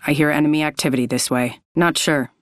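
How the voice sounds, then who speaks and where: A woman voices a cool, watchful fighter for a video game. The voice is low and smooth, moving quickly through short callouts in a dry recording. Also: fairly even intonation, no echo, close to an everyday voice.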